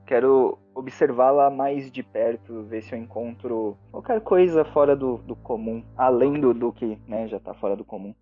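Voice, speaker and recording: A man's voice: mid-range voice, moderate pace, few pauses, narrow, tinny audio, faint music behind. A man playing a tabletop game, casually describing what his character does.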